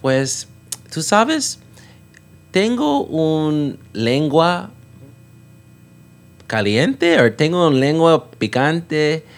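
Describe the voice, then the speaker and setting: A man's voice: a little high, big pitch swings, drawn-out words, creaky, pauses often. A man whose first language is English, chatting as a podcast guest in hesitant learner's Spanish, full of fillers and self-corrections. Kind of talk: casual chat.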